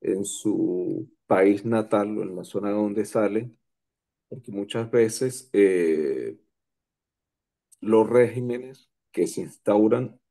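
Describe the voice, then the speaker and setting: Man, forties, gravelly and low, slow and hesitant, conference remarks. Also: warm tone. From Colombia, raised in Venezuela. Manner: hesitant, many restarts. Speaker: conference participant. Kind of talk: slow, halting reflective remarks.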